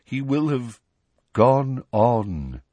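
Audiobook ghost, performed by a man: sorrowful, gentle gentleman, low slightly husky voice, hesitant and resigned. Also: lively intonation, a little slow, dry recording, heavily acted. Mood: miserable, grave. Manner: hesitant, sighing, formal, soft-spoken. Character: mournful, resigned male ghost, reluctant and gentle. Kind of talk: audiobook character voice.

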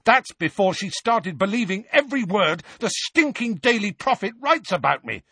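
A man's voice: on the low side, animated, a little fast, slightly rough, no echo. A man voicing a hot-tempered, wounded teenage boy wizard who retorts indignantly in an audiobook story. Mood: furious.

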